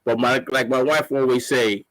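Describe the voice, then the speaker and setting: A man with a mid-range voice talks casually among co-hosts. He speaks haltingly and often restarts his sentences. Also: medium pitch, steady tone, a bit bright, rough recording. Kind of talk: casual chat.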